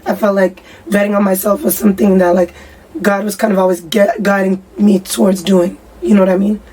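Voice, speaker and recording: A young woman musician in a casual interview, with a raspy voice, talking candidly in slang and lots of fillers. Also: mid-range voice, level tone, a little fast, warm tone. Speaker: musician guest. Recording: distant mic with faint background noise.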